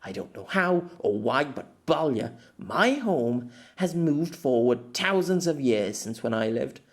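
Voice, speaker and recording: A man's voice: on the high side, big pitch swings, a little slow. A man playing a middle-aged exile in an audio story, bitter, hot-tempered and desperate, stammering and swearing in dramatic outbursts. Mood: bitter, grieving.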